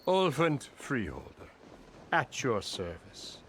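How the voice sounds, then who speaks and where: An actor playing a pompous, blustering middle-aged labor boss who talks in grand, flattering, evasive turns in a video game. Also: male voice, mid-range voice, takes it slowly, slight background noise.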